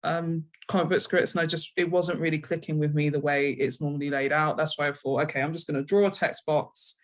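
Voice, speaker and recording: A woman's voice: sits low, fairly even intonation, a little fast. Hesitant, thoughtful London woman. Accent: London English. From London, England. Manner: hesitant, reflective, self-correcting. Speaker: interviewed guest, comic book writer. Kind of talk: conversational interview answers.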